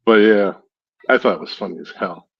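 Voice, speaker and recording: A man's voice: lowish voice, brisk, creaky, husky. Guyanese guy chatting on stream, laughs a lot, kinda muffled lo-fi audio. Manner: laughs often, rambling storytelling. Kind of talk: casual chat.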